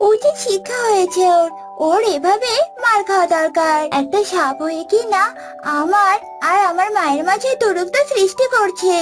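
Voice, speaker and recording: A very high-pitched, childlike young calf played by a woman from a children's cartoon, with music behind. She is sulky and spiteful, flinging sarcastic accusations in a whiny manner. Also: moderate pace.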